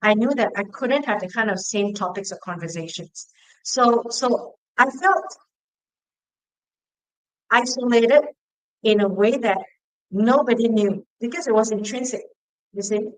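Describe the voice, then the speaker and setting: A woman's voice: fairly high pitch, unhurried, pauses often, rough recording. A woman from Singapore, hosting a casual talk show and asking her guest rambling, restarting questions. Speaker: podcast host. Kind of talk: casual conversation.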